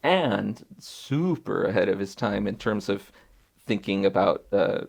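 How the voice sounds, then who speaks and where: Gravelly, husky-voiced man curator. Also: on the low side, measured pace. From Mexico. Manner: thoughtful, lively storytelling with laughs. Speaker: museum curator. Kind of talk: casual conversation.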